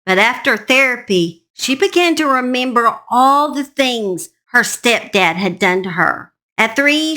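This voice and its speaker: Bright, forceful woman telling true crime. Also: a little high. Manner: informal, plain-spoken, addresses listeners directly. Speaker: host of a true crime storytelling channel. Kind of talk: true crime narration, conversational storytelling.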